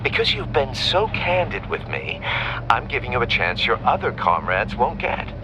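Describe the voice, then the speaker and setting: An actor playing a sadistic, gloating villain in a video game, taunting with theatrical mock courtesy. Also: male voice, mid-range voice, very animated, moderate pace, background noise, close to an everyday voice. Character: sadistic, gloating male riddle-obsessed villain.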